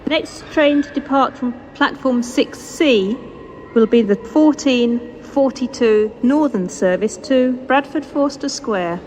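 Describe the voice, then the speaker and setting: A British woman reading scripted railway announcements over a station loudspeaker, formal and measured, in segmented phrases. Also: sits high. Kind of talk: scripted public-address train announcements. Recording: noisy station PA recorded on location.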